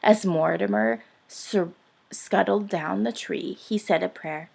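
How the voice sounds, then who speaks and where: A woman reading a picture book aloud for young children in an expressive, dramatic storytelling style. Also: mid-range voice, slow, vocal fry.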